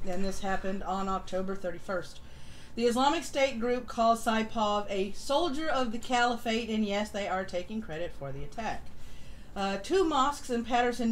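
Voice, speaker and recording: Female live stream host, casual news commentary, blunt and sardonic, audible noise behind the voice. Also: medium pitch.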